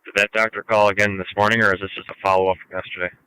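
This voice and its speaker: American guy on police radio, low flat voice, talks quick, short call-ins. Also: smooth, rough recording. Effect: two-way radio transmission. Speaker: field unit officer calling dispatch. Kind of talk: terse radio traffic.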